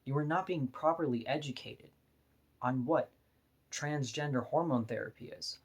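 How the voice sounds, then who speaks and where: A woman with a deep voice, talking bluntly and frankly in a candid personal vlog monologue, laughing now and then between points. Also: moderate pace, darkish tone, husky. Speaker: vlogger.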